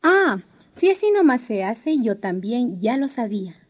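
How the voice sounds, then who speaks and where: High-voiced naive young housewife played by a woman. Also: sing-song, a little slow. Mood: smug. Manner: sweet, wheedling, then smugly dismissive. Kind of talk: 1990s radio drama acting. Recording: dull lo-fi radio recording, music behind.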